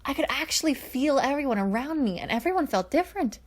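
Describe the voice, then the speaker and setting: A woman's voice: high-pitched, expressive, fast, pitched above the performer's own voice. A woman voicing an enthusiastic, good-natured young male space paladin with an eager, chatty manner.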